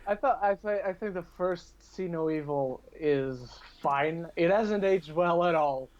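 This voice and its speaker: A man's voice: on the high side, a little slow, lo-fi sound. A man in his twenties chatting casually about films, hesitating and repeating words as he thinks. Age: 20s. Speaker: podcast participant. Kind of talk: casual film chat.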